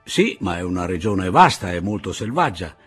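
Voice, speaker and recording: A man's voice: sits low, very animated, normal speed, breathy edge, faint music behind, full character voice. A man voicing a tough older woman guerrilla leader with a raised, emphatic delivery, in an audiobook reading.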